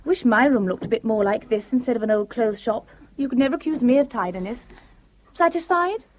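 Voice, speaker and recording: An actress playing a breezy, candid young British flatmate in a radio drama, brisk and a little defensive. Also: female voice, a little high, a little hiss or hum, lightly acted.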